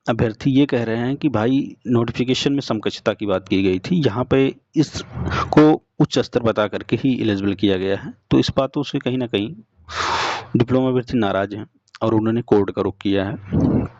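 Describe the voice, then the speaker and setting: A young man gives an informal explanatory update, speaking to listeners directly in halting, repetitive phrases. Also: medium pitch, a little mellow, no echo. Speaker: exam update presenter. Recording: dull lo-fi sound.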